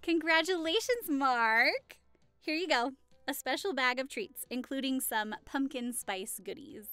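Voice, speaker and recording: Casting: woman as cheerful Halloween party hostess, welcoming and playful, high voice with a leisurely pace. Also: expressive, a little slow, sharp tone, smooth, faint music behind, exaggerated voice. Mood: excited, festive. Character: cheerful, teasing woman hosting a Halloween party, a devoted friend. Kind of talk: audio roleplay acting.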